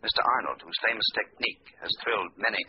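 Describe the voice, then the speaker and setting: An actor playing a formal radio announcer in an old radio drama. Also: male voice, on the low side, quick pace, natural voice. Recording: dull old radio recording with hiss.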